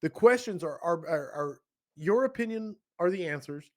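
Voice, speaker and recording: Podcast host, male, slightly high-pitched, enthusiastic and jokey. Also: expressive, normal speed. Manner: enthusiastic, laughing, playful. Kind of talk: casual interview chat.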